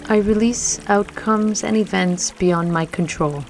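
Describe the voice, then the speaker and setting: A woman leading a scripted guided meditation with affirmations in a gentle, soothing manner, leaving long pauses between phrases. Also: mid-range voice. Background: music and trickling water.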